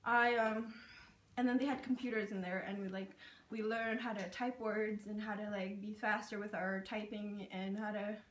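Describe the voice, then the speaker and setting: A woman's voice: medium pitch, level tone, normal speed. A young woman from Burma, raised in Thailand, whose first language is Karen, casually telling personal stories with lots of "like" and "um". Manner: chatty, with many "like" and "um" fillers. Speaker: interviewee sharing her experience. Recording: roomy, distant mic.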